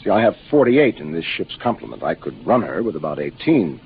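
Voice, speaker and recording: A man's voice: low-pitched, big pitch swings, brisk, gravelly, husky, exaggerated voice. An American man playing a seasoned, matter-of-fact spaceship captain in 1950s radio drama style, commanding as he explains things. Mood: grave, explanatory. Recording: muffled, noisy 1950s radio broadcast.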